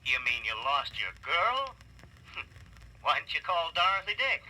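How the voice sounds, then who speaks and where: An actor playing a teasing, unhurried male police lieutenant with a wisecracking manner in an old-time radio drama. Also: fairly high pitch, sing-song, sharp tone, full character voice, rough recording. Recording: old radio recording, faint noise.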